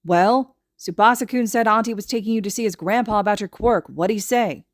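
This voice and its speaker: Storybook role: bossy, boastful small boy, played by a woman, impatient and forceful, raised pitch. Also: brisk, no room sound, clean studio sound, natural voice. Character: brash, cocky, impatient little boy.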